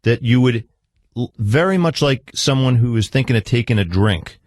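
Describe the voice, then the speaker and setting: A man speaking as a therapist in a counselling conversation, pressing gently but persistently with measured questions. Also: mid-range voice, animated, no echo.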